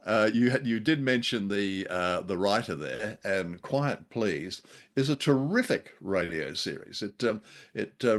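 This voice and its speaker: Man, middle-aged, lowish pitch, hesitant halting delivery, casual show-host chat. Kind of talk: casual chat reviewing radio drama.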